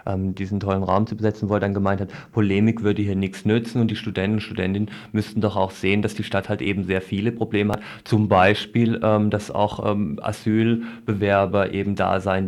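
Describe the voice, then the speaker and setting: A man's voice: fairly low pitch, level tone, a little fast, creaky, few pauses, a little mellow. A man hosting a local radio news interview, speaking informally with some hesitant phrasing.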